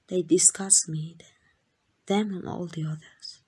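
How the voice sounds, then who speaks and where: A woman with a Mexican accent playing a tender, devoted queen in a romantic ASMR roleplay, speaking in gentle, intimate, breathy whispers. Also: lowish voice, steady tone, takes it slowly, heavily acted. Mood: tender, wistful. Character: tender, devoted queen in secret love with a commoner.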